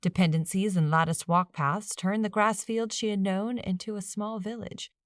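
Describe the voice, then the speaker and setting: A woman's voice: mid-range voice, steady tone, moderate pace, no room sound, clean studio sound. A woman reading a fiction audiobook as a scripted narration.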